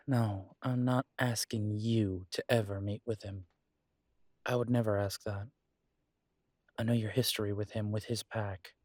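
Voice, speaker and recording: A man acting as an earnest, protective werewolf in an intimate roleplay audio, speaking tenderly to a partner with halting pauses. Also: fairly low pitch, fairly even intonation, no room sound, studio quality.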